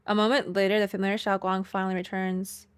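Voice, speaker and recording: A woman's voice: mid-range voice, flat delivery, fast, clean tone, dry recording. A woman game streamer reacting casually and reading game text aloud in a playful, informal way. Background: faint game music.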